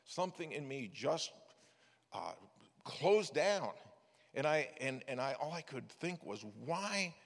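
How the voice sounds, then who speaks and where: A man's voice: fairly high pitch, very animated, slow, vocal fry. An older man preaching a sermon, telling stories in a folksy way with frequent restarts. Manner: folksy storytelling with many restarts. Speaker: preacher, former missions director. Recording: echoey hall with long reverb.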